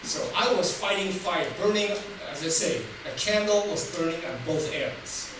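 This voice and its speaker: A man's voice: high-pitched, normal speed. An older man from Taiwan, Taiwanese his first language, telling his life story as a humorous public speech. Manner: humorous, laughs at his own jokes. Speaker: public speaker. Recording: roomy hall from far off, noisy.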